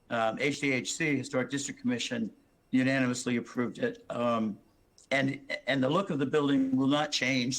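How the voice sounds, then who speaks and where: A hoarse man presenting his building plan to a town planning board, rambling with many restarts and fillers. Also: medium pitch, a bit bright. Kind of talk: public meeting testimony.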